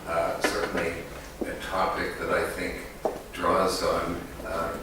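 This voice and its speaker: A man's voice: low voice, completely flat delivery, takes it slowly, background noise. A man giving an academic conference talk as an economist, hesitant, with many fillers and restarts, recorded in an echoey hall from a distant mic.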